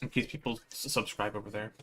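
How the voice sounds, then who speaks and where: A man's voice: lowish voice, fairly even intonation, fast talker, bright, forceful tone. A man livestreaming with slangy, playful, rambling casual chat to his viewers. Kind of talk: casual livestream chat, reading visual novel aloud.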